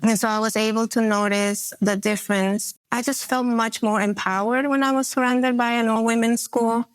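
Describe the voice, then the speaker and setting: A woman's voice: a little high, steady tone, normal speed, dry recording, rough recording. A woman in her forties from Peru, a podcast guest telling personal stories in an earnest, reflective way with frequent fillers. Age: 40s. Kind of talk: personal storytelling in an interview.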